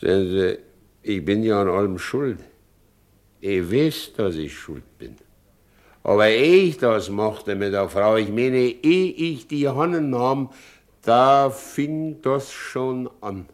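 A man playing a good-natured, broken, aging carter in a stage drama, brooding and guilt-ridden, with halting, rustic, repetitive speech. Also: on the low side, expressive.

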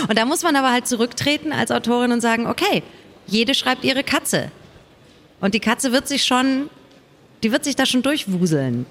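A woman's voice: on the high side, lively intonation, moderate pace. A woman author talking casually and colloquially in a panel discussion.

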